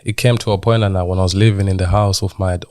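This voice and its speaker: A young man with a deep voice, recorded close and dry, tells his life story in an interview. He is from Zimbabwe and talks in colloquial British street slang, peppered with little check-in phrases. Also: steady tone, fast talker, a little mellow, no room sound. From Zimbabwe, moved to England in childhood. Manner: colloquial British street slang, frequent "you get me" tags. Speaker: podcast guest. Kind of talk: casual personal storytelling in an interview.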